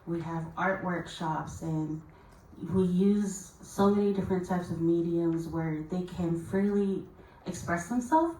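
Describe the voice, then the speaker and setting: A woman from Hawaii with a slightly low voice talks earnestly and casually, leaning on "you know." She is recorded from a distance in an echoing conference room with faint ambience. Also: unhurried, dark tone, a little hiss or hum. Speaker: youth panelist. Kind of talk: panel answer. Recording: echoey conference room from far off.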